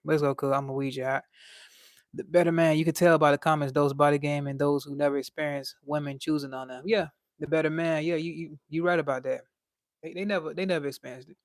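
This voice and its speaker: A man's voice: on the high side, fairly even intonation, fast, dry recording, clean studio sound. A young man with a light voice, chatting casually on a livestream and reading out chat in a laid-back, slangy way.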